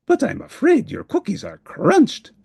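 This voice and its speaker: Furry little monster for a kids storybook, way high and swoopy, polite, eager, kinda frantic. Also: huge pitch swings, a little slow, gravelly, a little mellow, no room sound, clean studio sound, full character voice. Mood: apologetic, helpful. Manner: exclaiming, formal, dramatic wording without contractions. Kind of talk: storybook character voice.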